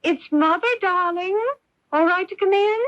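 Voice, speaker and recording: An actress playing a protective, gentle middle-aged mother in a radio drama, speaking with careful reassurance. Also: very high-pitched, normal speed, slight background noise. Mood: gentle, probing. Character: protective, gentle mother of a troubled grown son. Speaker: narrator and lead character. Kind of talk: radio drama acting with first-person narration. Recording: muffled, dry AM radio tape.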